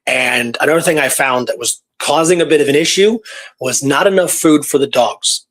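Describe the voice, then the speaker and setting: A man hosting a talk show, retelling a true story in a casual, animated way with repeated phrases and pauses for drama. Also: on the high side. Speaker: podcast host telling a true historical story.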